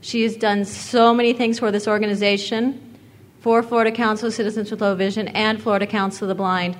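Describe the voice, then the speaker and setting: A woman's voice: mid-range voice, flat delivery, fairly quick. A woman presiding over an award presentation, talking in an effusive, repetitive way at a podium microphone in a meeting hall. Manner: warm, effusive, repeats words.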